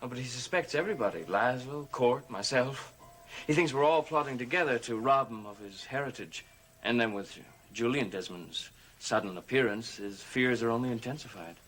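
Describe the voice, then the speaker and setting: An actor playing a scheming, evasive occultist relative in a gothic television soap, speaking in a measured, conspiratorial way. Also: male voice, medium pitch, fairly bright tone, faint music behind, close to an everyday voice, rough recording. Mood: sly, insinuating. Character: scheming, smooth male occultist relative after an inheritance.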